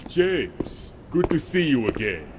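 A man's voice: mid-range voice, big pitch swings, slow, noisy background. An actor in an audio drama playing a formal, courtly businessman who is politely menacing and evasive. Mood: courteous. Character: smooth-talking, menacing male corporate client representative.

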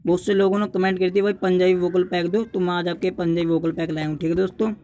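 A man's voice: high-pitched, fairly even intonation, fast talker, mellow, highs cut off. A young man narrating a casual tutorial, repeatedly urging and addressing his viewers as friends. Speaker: tutorial YouTuber.

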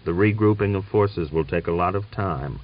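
A man's voice: low voice, moderate pace, far from the mic, lightly acted. A man voicing a proud, hot-blooded cavalry commander in an audiobook, thick-voiced, stubborn and challenging. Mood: stubborn refusal. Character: defiant, proud, hot-blooded male Cossack division commander. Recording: dull lo-fi recording.

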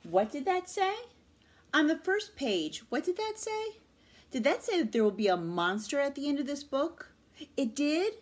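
A woman's voice: sits high, big pitch swings, moderate pace, voice pushed up. A woman voicing a lovable, frightened male furry monster from a picture book, dramatic and pleading. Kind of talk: children's picture book read-aloud.

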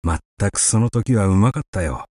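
A man reading a literary short story aloud as an audiobook narrator, with measured, expressive storytelling. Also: on the low side, no room sound, clean studio sound.

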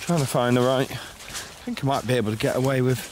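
A man vlogging a fishing trip outdoors by a lake, chatting excitably and bantering with his brother. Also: medium pitch, a little fast, noisy background. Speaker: angler vlogger. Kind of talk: casual chat.

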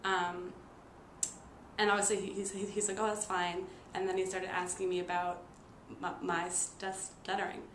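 A woman in her twenties telling a personal story casually to camera, halting and stuttering with repeated sounds, blocks and frequent fillers. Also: on the low side, monotone, fairly bright tone. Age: 20s. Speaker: nursing student and stuttering therapy client. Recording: roomy echo with noticeable background noise.

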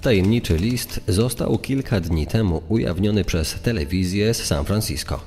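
Man playing a very deep-voiced news reporter. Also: animated, darkish tone, soft background music. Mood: formal. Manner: formal, measured. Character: male news reporter announcing a sensational discovery. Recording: long echoing reverb.

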